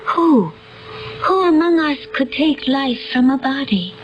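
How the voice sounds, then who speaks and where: A woman's voice: high voice, lively intonation, measured pace. A woman playing an innocent, horrified young woman of a futuristic world, in dramatic, pleading radio style. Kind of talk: radio mystery drama acting. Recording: dull old radio broadcast, some noise.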